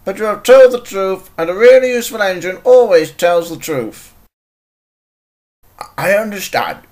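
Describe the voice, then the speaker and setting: A man's voice: sits high, animated, normal speed, darkish tone. A man voicing a thin, strict railway controller from a children's cartoon, formal and admonishing.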